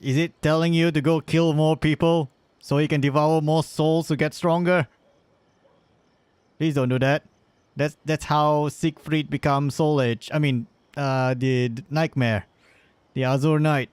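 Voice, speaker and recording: A man's voice: a little high, steady tone, measured pace, darkish tone, clear, resonant tone, no echo. A man streaming live, giving casual, slangy, rambling commentary on a game.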